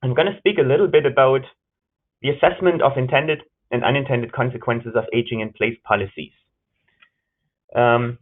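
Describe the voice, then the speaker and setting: A man from Germany with a German accent giving an earnest webinar research presentation, pausing on fillers and correcting himself now and then. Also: mid-range voice. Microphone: video call. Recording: tinny phone-like line.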